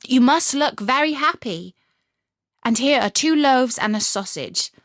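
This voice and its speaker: A woman's voice: sits high, expressive, unhurried, a bit bright, no echo, clean studio sound, pitched above the performer's own voice, heavily acted. A woman voicing a fierce but kindly little robber girl in a storybook, commanding and blunt. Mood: bossy, brisk.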